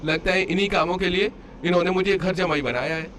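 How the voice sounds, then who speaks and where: A man's voice: high-pitched, a little fast, a bit bright. A man acts out a short-tempered, entitled son-in-law from a cartoon story. He complains and sneers with whining sarcasm. Noticeable noise sits behind the voice. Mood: bitter, sarcastic. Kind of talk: Hindi cartoon story dialogue. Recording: clear background noise under voice.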